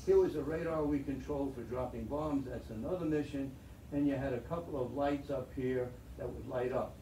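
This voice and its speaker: A man's voice: medium pitch, moderate pace, mellow. An older man giving a slide talk as a veteran pilot, wry and chatty, heard from a far camera in a meeting room. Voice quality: chatty, wry storyteller with restarts. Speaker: guest speaker, veteran pilot. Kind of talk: slide lecture to an audience. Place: meeting room with projector screen. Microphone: far camera in the audience. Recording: roomy, distant and faintly noisy.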